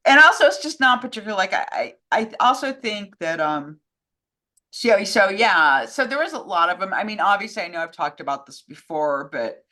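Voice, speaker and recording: Woman, low-pitched, bright forceful tone, informal, opinionated, rambling conversational chat. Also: big pitch swings, moderate pace. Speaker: co-host answering fan questions. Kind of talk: casual film and old-time radio chat.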